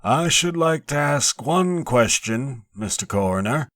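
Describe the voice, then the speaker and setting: A coarse, bearded foreman in his sixties, put on by a male narrator, from a horror story reading. He talks slowly and pointedly, with mocking formality, pitched up from the narration in a dry recording. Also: animated, a bit bright, no echo. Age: 60s.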